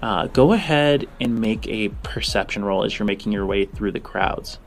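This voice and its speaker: Low-voiced man running a tabletop game. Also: soft background music. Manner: halting, informal, descriptive. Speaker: game master. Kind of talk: improvised narration of a tabletop game.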